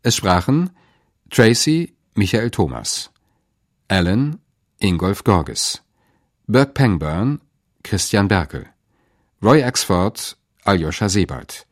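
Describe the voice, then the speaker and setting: Slow, low-voiced male radio announcer. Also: big pitch swings, no room sound, studio quality. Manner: measured, clearly separated phrases. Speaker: announcer reading closing credits. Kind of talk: formal radio drama credit announcement.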